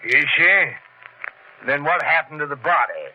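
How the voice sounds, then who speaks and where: A blunt small-town sheriff in a 1940s radio thriller. His voice sits a little high for a man, with a folksy, accusing way of talking. The sound is muffled, with faint background noise. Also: sing-song, measured pace, bright, forceful tone, exaggerated voice. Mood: suspicious. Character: gruff, skeptical, pushy small-town sheriff. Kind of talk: 1940s radio mystery acting.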